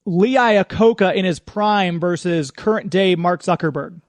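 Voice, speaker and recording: High-voiced man hosting a radio show. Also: a little slow, no echo. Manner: chatty, informal, off the cuff. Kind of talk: casual radio chat and sponsor reads.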